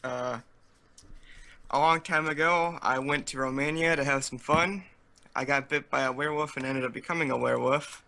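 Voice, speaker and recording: A man's voice: medium pitch, fairly even intonation, normal speed, vocal fry, sharp tone. A man chatting casually as a roleplay player, telling his character's backstory.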